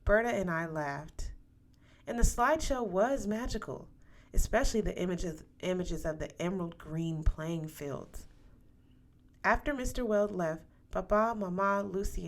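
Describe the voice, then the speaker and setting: A woman's voice: on the low side, animated, normal speed. A woman reading a novel aloud as a scripted narration for young listeners. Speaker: audiobook reader.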